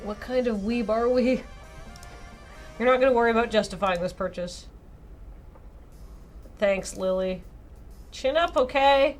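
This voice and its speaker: Woman game streamer, highish voice, playful casual narration of dialogue, soft music in the background. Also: a little slow, pauses often. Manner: playful, giggly.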